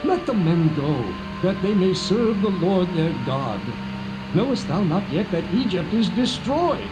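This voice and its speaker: Exasperated court servant, high urgent voice, clear background noise. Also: male voice, animated, a little slow. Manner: urgent, protesting. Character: anxious, exasperated male royal servant. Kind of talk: dramatised scripture acting.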